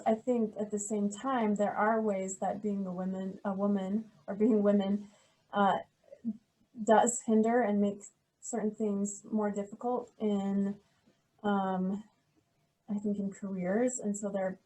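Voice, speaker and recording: A middle-aged woman, a history professor, answering interview questions in a soft, hesitant way with many pauses and restarts. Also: mid-range voice, rough recording.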